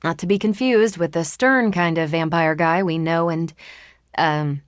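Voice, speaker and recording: A woman playing a confident, wry and brisk superhero soldier in a video game, with a casual, assertive way of talking. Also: on the low side, fairly even intonation, dry recording, natural voice. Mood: easygoing, dry. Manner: casual, dry teasing, assertive. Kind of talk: video game character dialogue.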